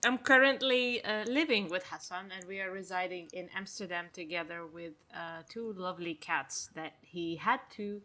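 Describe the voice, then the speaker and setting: Bright-voiced woman, laughing and modest. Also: lowish voice, normal speed, few pauses. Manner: laughing, self-deprecating, hesitant fillers. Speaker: indie game designer guest. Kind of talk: casual interview chat.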